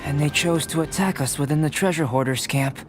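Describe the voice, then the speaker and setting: A man playing a calm, analytical young alchemist in a video game, speaking in a measured, precise and formal way. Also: a little high, lightly acted. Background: music.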